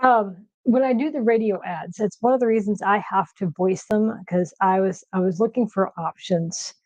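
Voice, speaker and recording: A woman with a soft nasal voice chatting casually on a livestream from her kitchen, with lots of fillers and restarts. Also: mid-range voice, moderate pace, no echo, rough recording. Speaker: streamer host. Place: home kitchen.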